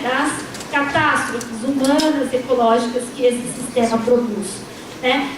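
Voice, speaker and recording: High-voiced woman lecturing from afar. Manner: frequent tag "né", restarts mid-word. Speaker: university lecturer on a panel. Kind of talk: academic panel talk with slides. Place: university lecture room. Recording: distant, echoey lecture hall with noise.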